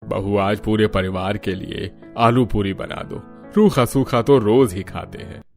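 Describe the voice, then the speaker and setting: A man plays an older, plain-spoken father-in-law in a cartoon family tale. The voice is on the low side, with light music behind it. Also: steady tone, a little slow. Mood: mild. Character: grumbling father-in-law, poor older vegetable seller. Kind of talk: Hindi cartoon story acting.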